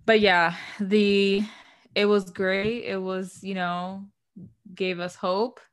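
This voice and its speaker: A woman's voice: medium pitch, steady tone, slow pace, clear, resonant tone. A woman whose first language is Spanish, co-hosting a casual podcast. She tells stories in a rambling way and sometimes trips over longer English words. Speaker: podcast co-host. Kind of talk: casual chat.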